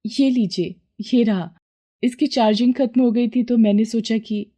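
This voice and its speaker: Woman as a humble young cartoon wife, soft, meek, mellow tone. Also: fairly high pitch, normal speed, close to an everyday voice. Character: humble, patient young wife from a poor family.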